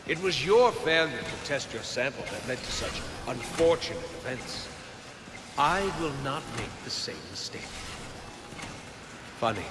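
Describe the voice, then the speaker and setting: An actor playing an arrogant, obsessed scientist villain in a video game cutscene, grandiose and menacing. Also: male voice, medium pitch, long reverb tail, background noise. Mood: bitter, cold.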